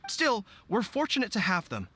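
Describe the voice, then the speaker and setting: A man's voice: high-pitched, huge pitch swings, moderate pace, a bit bright, slightly rough, full character voice. An actor playing an earnest, by-the-book young general in a video game who plans carefully and talks through his tactics. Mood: relieved.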